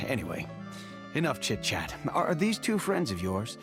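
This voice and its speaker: A man's voice: mid-range voice, wildly swooping pitch, a little slow, music in the background. A man voicing an easygoing, wry town guard in a video game, with breezy interjections. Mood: breezy, defensive. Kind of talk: video game voice acting, dramatic dialogue.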